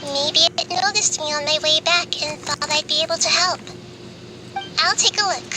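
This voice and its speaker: A woman playing a gentle, wise, childlike nature goddess in a video game, speaking in a measured, thoughtful, explanatory way. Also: sits high, noisy background, natural voice. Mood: calm, explanatory. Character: gentle, wise, childlike female nature god, small and curious. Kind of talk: scripted video game voice acting. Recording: game music loud behind.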